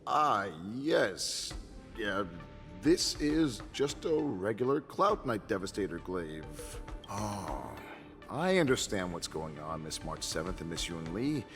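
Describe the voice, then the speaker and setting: An actor voicing an awkward, sheepish, good-natured security guard in a video game, with a hesitant manner. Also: male voice, lowish voice, big pitch swings, takes it slowly, music in the background, exaggerated voice. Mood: hesitant, affable.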